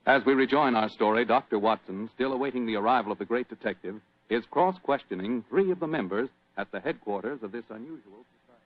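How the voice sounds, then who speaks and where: A male announcer reads scripted narration for an old-time radio drama. His pitch is medium and the sound is dry, with the dulled top of an old recording. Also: quick pace, hardly any pauses, no echo, muffled recording.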